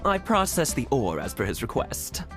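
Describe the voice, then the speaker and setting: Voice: medium pitch, huge pitch swings, normal speed, a bit bright. A voice actor playing a polite, hesitant male shopkeeper in a game who speaks with deference. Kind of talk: game voice acting. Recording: studio voice over game music.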